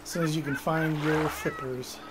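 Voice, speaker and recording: A man's voice: on the high side, steady tone, normal speed, heavy background noise. A man casually commentating on a game with a co-player, making sarcastic, joking asides. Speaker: co-host of gameplay show.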